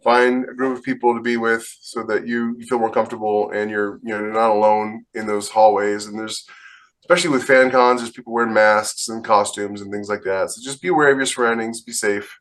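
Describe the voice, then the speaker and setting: A man's voice: on the low side, little pitch movement, a little fast, a bit bright. A man co-hosting a podcast, chatting casually in an earnest, emphatic conversational way. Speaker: podcast co-host and author.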